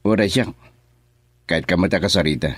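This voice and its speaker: Indignant young Ilocano man, husky. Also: sits low, fairly quick, no room sound. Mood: indignant, scolding. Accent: Filipino, Ilocano. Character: indignant young man confronting friends over an affair. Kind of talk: Ilocano radio drama acting.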